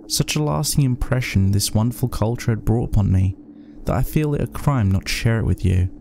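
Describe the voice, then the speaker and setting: Male student cult convert acted by a man, serene, devout, formal horror monologue, soft dark timbre, slight background noise. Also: on the low side, vocal fry. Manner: eerily calm, formal, florid wording. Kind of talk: scripted horror monologue, read as an essay.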